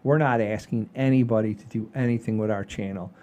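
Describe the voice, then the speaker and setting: A man hosting a comedy show in casual chat, halting and repeating phrases for emphasis. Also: on the low side, steady tone, warm tone.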